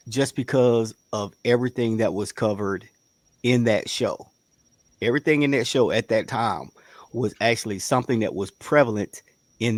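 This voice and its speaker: A man's voice: on the low side, no room sound. A man co-hosting a casual debate, arguing bluntly and insistently and repeating himself for emphasis. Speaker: panel show co-host.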